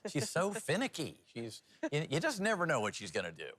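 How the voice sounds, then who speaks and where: A man's voice: on the high side, wildly swooping pitch, a little fast, a bit bright, no echo. A man working as a TV news anchor and reporter, with a folksy, conversational, jokey way of presenting.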